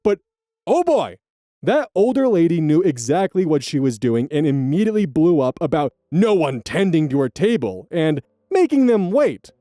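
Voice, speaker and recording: A man reading online stories aloud as host, adding blunt, profane commentary in a casual, animated way. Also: on the high side, moderate pace, no echo, clean studio sound.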